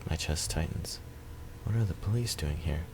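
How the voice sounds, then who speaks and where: A man reading visual novel narration aloud in a subdued, measured way with pauses. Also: very deep voice, background noise.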